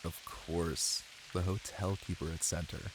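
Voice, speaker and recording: A man playing an affectionate, playful boyfriend in an intimate ASMR roleplay, with a deep, husky voice and soft, teasing delivery. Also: flat delivery, background noise. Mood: soft storytelling. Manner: soft, unhurried, teasing, with murmured pauses. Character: affectionate, playful, ticklish boyfriend reading aloud to partner. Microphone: close microphone. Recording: close, dry, with rain ambience. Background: rain.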